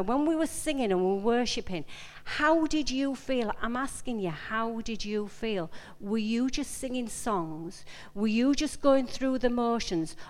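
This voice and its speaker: A woman preacher with a Yorkshire accent speaks in a slightly high-pitched voice that carries long hall reverb. She delivers an informal sermon, conversational and emphatic, circling back on phrases and addressing her listeners directly. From Yorkshire, England. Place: large indoor meeting room.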